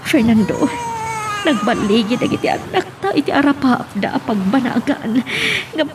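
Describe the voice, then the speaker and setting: A woman's voice: on the high side, animated, normal speed, close to an everyday voice. A woman with a Filipino accent playing a devoted, fretful mother in an audio melodrama. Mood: worried. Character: emotional devoted mother of grown children. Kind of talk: Filipino radio-style melodrama acting. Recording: distant mic with background hiss.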